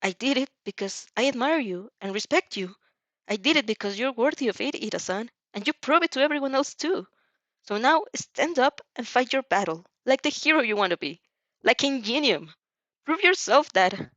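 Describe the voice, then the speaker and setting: A woman's voice: a little high, animated, normal speed, fairly bright tone, dry recording, dull audio, voice pushed up. A woman voicing a caring, determined young heroine student in an earnest, emotional audio story.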